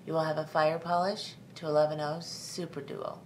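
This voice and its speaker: A middle-aged woman hosting a step-by-step beading tutorial, talking calmly and methodically with frequent ums. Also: low voice, normal speed, a little hiss or hum, low-quality recording. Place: tabletop craft workspace, indoors.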